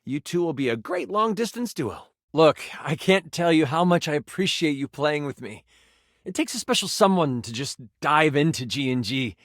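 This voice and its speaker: A man's voice: on the high side, animated, moderate pace, a bit bright, dry recording, studio quality. An actor voicing a personified gaming die as a nerdy, earnest, awkward man who stammers and gets theatrical, in video game style. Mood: encouraging, appreciative. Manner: hesitant, stammering, effusive, theatrical gamer talk.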